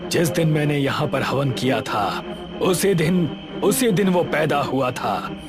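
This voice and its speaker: Man acting a menacing sorcerer, theatrical and raised in pitch. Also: expressive, over music. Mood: menacing, fanatical. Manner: theatrical, taunting, drawn-out emphatic words. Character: menacing red-eyed occult sorcerer guarding his tree.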